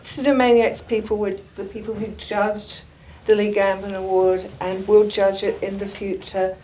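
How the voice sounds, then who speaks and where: A woman's voice: mid-range voice, little pitch movement, normal speed, dark tone. A woman hosting an awards ceremony, informal and hesitant, with frequent ums. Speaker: ceremony host. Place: event venue with audience. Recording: noisy crowded room recording.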